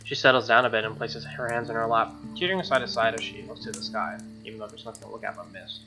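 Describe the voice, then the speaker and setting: A woman's voice: extremely low pitch, fairly even intonation, fast talker, music in the background. A woman streaming a visual novel playthrough, reading narration aloud and dropping in casual, slangy, sweary commentary.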